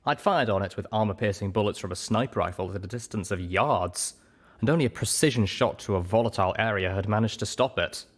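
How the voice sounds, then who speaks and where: Male narrator for first-person fiction, fairly low register, scripted storytelling delivery. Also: quick pace. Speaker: story reader.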